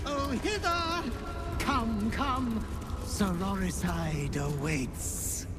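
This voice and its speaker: Very shrill, fawning fiend butler. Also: male voice, drawn-out words. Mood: gleeful, fawning. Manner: effusive, singsong, obsequious. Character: fawning, theatrical little fiend butler, gleefully servile. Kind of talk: dramatic fantasy game acting. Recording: music behind the voice.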